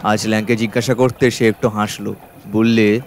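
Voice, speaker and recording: A man's voice: on the low side, level tone, normal speed, natural voice. Genial chatty uncle played by a man, noisy background. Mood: amused, chatty. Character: genial, carefree, chatty uncle, easily trusting. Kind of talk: radio drama acting.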